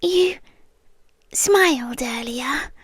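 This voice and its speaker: A woman playing a soft-spoken obsessive girlfriend. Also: high voice, expressive, extremely slow, husky, no echo, clean studio sound, heavily acted. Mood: calm doting. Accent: British. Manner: soft-spoken, drifting into whispers, gently unsettling. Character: obsessive young woman girlfriend, calm, doting and possessive. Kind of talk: ASMR roleplay.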